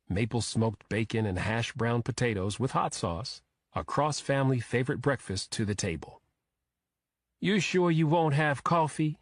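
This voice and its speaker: A man narrates a first-person thriller audiobook in a low-pitched voice. The sound is dry and close, with no room around it. He reads scripted prose as a storyteller. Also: darkish tone, no echo.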